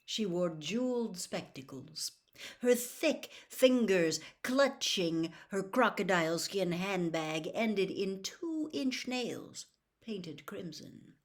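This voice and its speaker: A woman narrates fiction as a storyteller reading aloud. Her voice sits at a middle pitch for a woman. The delivery is expressive, in the manner of an audiobook. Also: takes it slowly.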